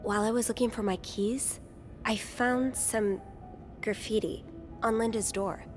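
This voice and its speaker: An actress playing a young hotel maid turned amateur sleuth, speaking gently and hesitantly, stammering now and then. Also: female voice, fairly high pitch, fairly even intonation, unhurried, faint music behind, close to an everyday voice.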